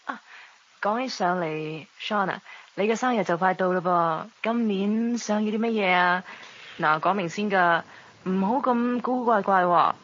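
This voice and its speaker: A slightly muffled radio drama recording of a poised Hong Kong career woman, played by an actress. Her mid-pitched Cantonese is coy and sugary, with a possessive, condescending edge. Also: moderate pace, fairly bright tone, natural voice. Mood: sweetly showing off.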